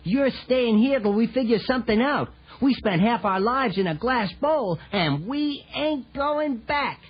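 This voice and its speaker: A streetwise, distrustful young ninja turtle, brash in manner and husky in tone. The children's audio drama has a muffled vintage tape sound. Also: medium pitch, lively intonation, a little slow. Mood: defiant. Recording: dull lo-fi 1980s tape.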